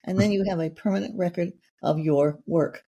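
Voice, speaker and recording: Gravelly, dark-voiced woman host. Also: fairly low pitch, fairly even intonation, normal speed. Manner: chatty, effusive, rambling. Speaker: podcast host. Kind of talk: casual interview chat.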